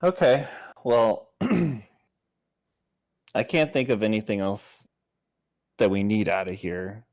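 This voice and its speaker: A man's voice: lowish voice, expressive, slow, pauses often, darkish tone. A man chatting casually as a player in a tabletop game.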